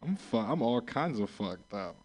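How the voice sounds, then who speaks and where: A man's voice: mid-range voice, very animated, moderate pace, darkish tone. Man from Florida, stand-up comic, crude and loose, laughs at his own jokes, stage mic kept at a distance. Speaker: stand-up comedian. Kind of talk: stand-up set. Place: comedy open mic room. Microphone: stage mic held back from the mouth.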